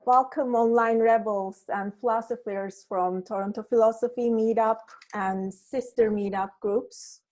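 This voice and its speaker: A woman's voice: fairly high pitch, steady tone, a little slow, darkish tone, rough recording. A woman from Turkey whose first language is Turkish, hosting an online meetup in a friendly, hesitant way over a video call. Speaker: meetup host. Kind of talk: casual online video chat.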